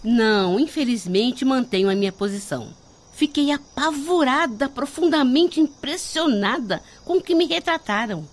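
A woman's voice: high voice, expressive, normal speed. An actress playing a frightened, apologetic devout lady in a radio drama, agitated yet formal.

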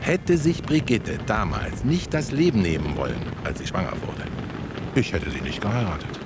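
A man's voice: fairly low pitch, wildly swooping pitch, normal speed, very noisy. An older man, an actor playing an aging, sardonic and nostalgic dentist in a German radio play, with a wry, laconic manner. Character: aging male dentist, sardonic, nostalgic old drinking companion.

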